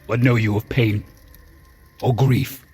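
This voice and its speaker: A man playing a wretched, vengeful man-made monster in an audio drama, speaking in grandiose, archaic, deliberate phrases. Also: fairly low pitch, fairly even intonation, darkish tone, slightly rough, faint music behind. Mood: wounded, resentful.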